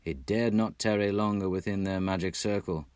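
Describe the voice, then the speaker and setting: A man from Texas reading a storybook in a dramatic, measured way. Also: low-pitched, steady tone, darkish tone, clean tone, no room sound, studio quality. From Texas, United States. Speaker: amateur audiobook narrator. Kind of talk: expressive scripted storybook narration.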